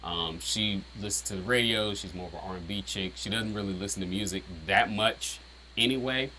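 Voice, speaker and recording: Deep-voiced rapper musing on his lyrics. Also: brisk, pushed and bright, slight background noise, low-quality recording. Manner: rambling, full of "um", "like" and "you know". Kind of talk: casual explanatory chat.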